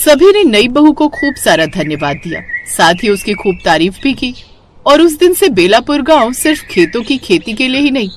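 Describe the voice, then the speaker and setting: A woman's voice: fairly high pitch, sing-song, normal speed, a little hiss or hum. A woman narrating a scripted moral story as the storyteller.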